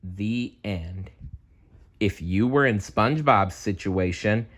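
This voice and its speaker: A man reading a children's storybook aloud, expressive and chatty, with casual asides. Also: lowish voice, slow. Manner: animated, chatty.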